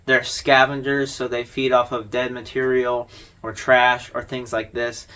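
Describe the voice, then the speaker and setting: A man's voice: mid-range voice, level tone, unhurried, bright, forceful tone. A man hosting an informal explainer video, talking through his commentary in a conversational, wry way. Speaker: video host.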